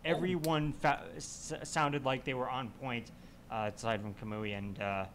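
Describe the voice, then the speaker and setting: A man's voice: medium pitch, steady tone, measured pace, fairly bright tone, slight background noise. A man talking casually in a group podcast discussion, often fumbling his words and backtracking. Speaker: podcast panelist.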